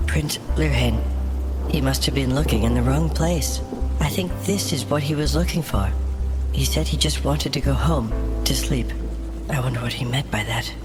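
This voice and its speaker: A man voicing an earnest, excited female adventurer in a fantasy audio drama, speaking in a hushed, urgent, breathy way. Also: medium pitch, moderate pace, over music, pitched above the performer's own voice, close to an everyday voice. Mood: pensive.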